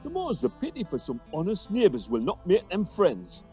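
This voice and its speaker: A foolish, cocky rustic played by an actor blusters and chuckles through comic Shakespearean radio drama. The voice is mellow and very animated, swinging up and down, with music behind. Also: mid-range voice, normal speed. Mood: bemused, cocky. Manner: blustering, chuckling, wildly swooping delivery. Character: cocky, foolish rustic man with a donkey's head.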